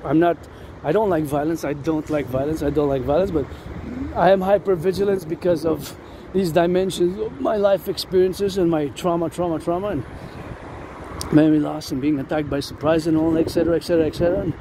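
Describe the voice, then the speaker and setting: A man's voice: fairly high pitch, steady tone, a little fast. A man delivering a rambling outdoor monologue, insistently repeating words and phrases. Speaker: vlogger. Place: outdoors near a road with vehicles. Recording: loud outdoor traffic noise. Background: passing vehicles, urban ambience.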